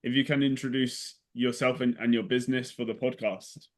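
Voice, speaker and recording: A man's voice: mid-range voice, fairly even intonation, moderate pace. A man hosting a casual podcast interview, putting questions to a guest with short affirming interjections. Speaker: podcast host.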